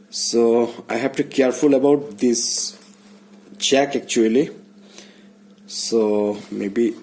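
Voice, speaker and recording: A man giving a casual hands-on tutorial, speaking haltingly and muttering to himself as he works indoors. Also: mid-range voice, darkish tone, poor audio. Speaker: DIY vlogger. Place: small indoor room. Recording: background noise, room ambience.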